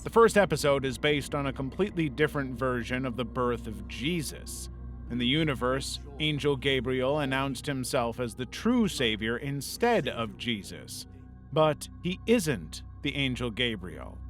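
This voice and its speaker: A man's voice: mid-range voice, lively intonation, unhurried, fairly bright tone. A man reading a scripted narration in the role of a video essay narrator. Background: background music.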